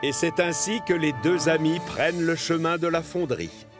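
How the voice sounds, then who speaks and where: A man narrating a children's cartoon as an expressive storyteller. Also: a little high, normal speed, music in the background.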